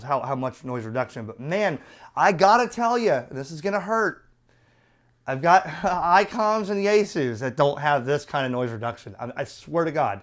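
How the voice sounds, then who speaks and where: A middle-aged man giving a casual product review in a chatty, opinionated, colloquial way. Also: fairly high pitch. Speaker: radio reviewer.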